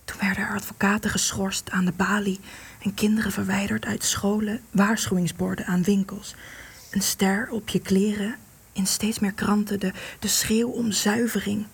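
An actress in a radio play, playing an anguished, guilt-ridden woman in hiding with a secret lover, breathy, intense and pleading. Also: mid-range voice, little pitch movement, normal speed. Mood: hushed, regretful.

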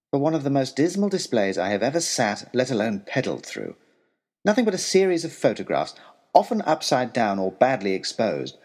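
A man's voice: fairly low pitch, husky. An actor playing a put-upon, wry male tutor, reading his pompous, wordy, aggrieved letter aloud in a comic audio story. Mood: exasperated. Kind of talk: scripted comic narration as a letter read aloud.

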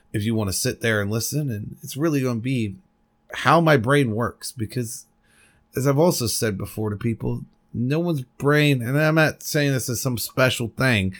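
A man hosting his own solo podcast, talking at a desk microphone in a rambling, candid and passionate way, with casual swearing. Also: fairly low pitch. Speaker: podcast host. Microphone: desk microphone at a computer.

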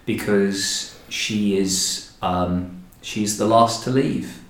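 A man hosting a podcast, describing things conversationally and in a measured way with pauses between short phrases. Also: on the low side. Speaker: podcast host, historic house director. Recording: roomy, echoing indoor sound.

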